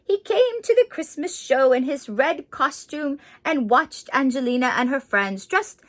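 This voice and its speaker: A woman playing a sweet, excitable Mrs. Claus, reading a children's story aloud with gushing, exclamatory asides. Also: sits high. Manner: gushing, exclamatory, drawn-out delivery.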